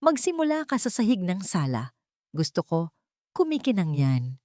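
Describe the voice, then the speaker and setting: A woman's voice: mid-range voice, big pitch swings, normal speed, mellow, no room sound, clean studio sound, lightly acted. A woman with a Filipino accent voicing a cold, commanding, scheming daughter-in-law in an audio story. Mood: venomous, commanding. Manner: icy, commanding.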